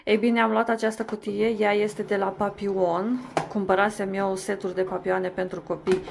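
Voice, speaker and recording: A woman's voice: mid-range voice, level tone, creaky, noisy background. A young woman chatting in a casual voice-over about cleaning and organizing her kitchen, rambling with fillers and restarts. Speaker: home vlogger.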